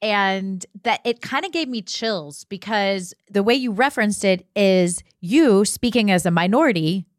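A woman talks through ideas in a reflective group discussion. Her voice sits at a middle pitch, with an earnest manner and deliberate word choices. The sound is dry and close. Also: expressive, no echo. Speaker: podcast panelist.

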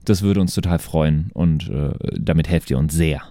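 A man's voice: extremely low pitch, normal speed, dark tone. A man hosting a podcast, chatting casually and reading out thanks with jokey little asides. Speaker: podcast host.

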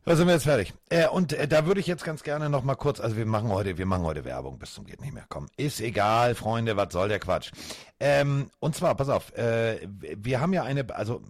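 A man co-hosting a casual podcast, animated and rambling, with many fillers and restarts. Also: medium pitch, a little fast, hardly any pauses, no room sound, studio quality. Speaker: podcast co-host.

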